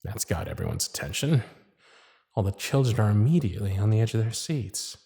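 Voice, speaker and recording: A man voicing a lovestruck magician's private thoughts in a hushed, confiding inner monologue for an ASMR roleplay. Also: on the low side, animated, a little fast, voice pushed down. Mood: smitten, musing. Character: smitten magician's private thoughts, shy and fond.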